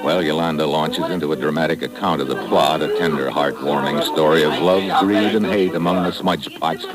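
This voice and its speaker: An actor with an American accent playing a tough, wisecracking secret agent who narrates in a clipped, wry manner in a 1950s radio drama. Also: male voice, very deep voice, fairly quick, loud music behind the voice. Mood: narrating. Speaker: narrator and lead.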